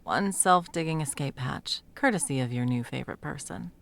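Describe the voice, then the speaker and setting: A woman's voice: low-pitched, animated, normal speed, creaky. A woman playing a brash, sweary, bossy sound mage with a teasing fondness, in an intimate audio roleplay. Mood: focused. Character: brash, foul-mouthed, teasing female sound mage, secretly smitten. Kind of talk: intimate second-person audio roleplay acting.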